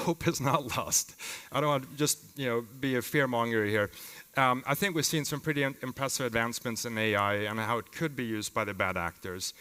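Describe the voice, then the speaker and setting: A man with a Swedish accent giving an informal, halting conference presentation as a company founder. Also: mid-range voice, bright, forceful tone. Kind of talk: live conference talk with demos. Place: conference hall with long reverb. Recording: reverberant hall sound.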